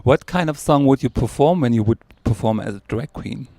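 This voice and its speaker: Man in casual conversation as a vlog guest, teasing and full of questions. His voice is gravelly and dark, and his pitch moves about in an animated way. The sound is dry and close. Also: brisk. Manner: playful, teasing, asks lots of questions. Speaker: guest, performing artist and magazine editor.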